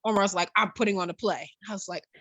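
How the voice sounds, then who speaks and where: Woman, bright forceful voice, lowish pitch, dry recording, casual interviewee storytelling. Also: fast talker, no room sound. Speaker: actress being interviewed.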